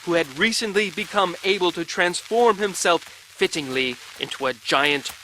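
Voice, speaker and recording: Bright, melodramatic avenging hero amid rain. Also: male voice, sits high, normal speed, rough recording. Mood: grim, ominous. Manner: theatrical, florid, grandiose. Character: melodramatic male storyteller hero, grimly vengeful, pompous. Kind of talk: dramatic scripted monologue in video game. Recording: rain and storm noise behind. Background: rain ambience.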